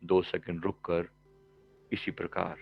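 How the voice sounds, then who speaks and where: Low, slow-paced man guiding meditation. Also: gravelly, breathy edge, highs cut off, soft background music. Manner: calm, measured, with frequent pauses between short phrases. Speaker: meditation teacher and life coach. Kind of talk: guided meditation instruction.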